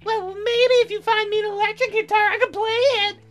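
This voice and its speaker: A man voicing a shy, flustered young woman musician in a hesitant falsetto, in a visual novel game. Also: little pitch movement, fairly quick, a bit bright.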